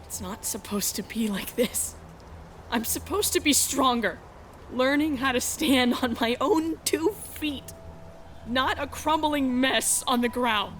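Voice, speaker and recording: A woman's voice: high-pitched, slow, a bit bright, slight background noise. A woman acting a young runaway heroine in a space adventure fan dub, anguished and defiant, with dramatic, emotional delivery. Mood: panicked, lost. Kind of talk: dramatic first-person narration of a visual novel. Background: music.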